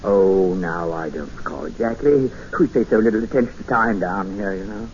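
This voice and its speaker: An actor playing a courtly, evasive old recluse, genial yet quietly menacing, in a 1950s radio drama. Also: male voice, sits low, natural voice. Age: older. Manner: courtly, evasive, placid, drawn-out. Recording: noisy, dull vintage radio recording.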